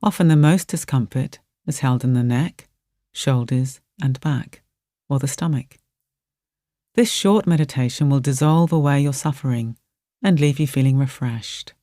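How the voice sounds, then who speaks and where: Soft, mellow meditation guide. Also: mid-range voice, dry recording, studio quality. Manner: gentle, soothing, unhurried phrasing with soft delivery.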